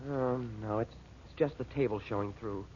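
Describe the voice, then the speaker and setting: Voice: medium pitch, moderate pace, a little mellow, a little hiss or hum, lightly acted. An actor playing an impatient, bossy teenage boy in an old radio drama, with slangy, exasperated delivery. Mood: eager, hushed. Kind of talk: 1930s-40s radio drama acting. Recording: muffled, husky old radio recording.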